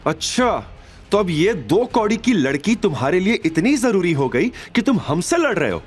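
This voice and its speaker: Audio drama villainess: older mother-in-law played by a man, haughty, scornful, voice pushed high. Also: very animated, moderate pace, breathy edge, soft background music, full character voice. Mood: indignant. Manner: taunting, scornful.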